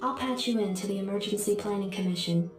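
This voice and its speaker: A woman's voice: mid-range voice, fast talker. A woman voicing a composed military adjutant computer, formal and precise, with a synthetic echo in a game briefing. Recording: heavy echo, music behind.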